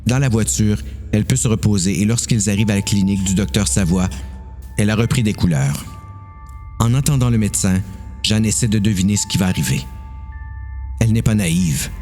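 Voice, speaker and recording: A man's voice: on the low side, a little fast, darkish tone, faint music behind. A man with a Quebec French accent narrating a dramatised horror story.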